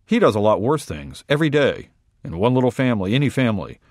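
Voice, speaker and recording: A man reading a short story aloud in a wry, deadpan first-person voice. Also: mid-range voice, quick pace, no room sound, clean studio sound. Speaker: reader.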